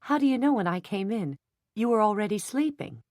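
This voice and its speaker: A woman voicing a loyal, fiery young woman who speaks earnestly and turns sharp and indignant. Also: mid-range voice, animated, fairly quick, dry recording, studio quality, voice pushed up, lightly acted. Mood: angry.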